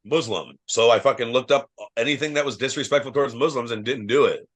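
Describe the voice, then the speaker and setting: A young man from Ohio talks at a mid pitch, with a loud voice. He tells rambling travel stories, full of swearing and "like". It is a casual podcast chat. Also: fast talker, slightly rough. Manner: loose, profane storytelling, lots of fillers; says he has a loud voice. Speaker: guest, professional wrestler.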